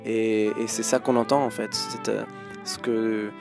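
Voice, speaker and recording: A man's voice: fairly low pitch, level tone, unhurried, creaky, over music. A man in his thirties giving thoughtful interview answers as a guest musician, hesitating often with fillers and restarts.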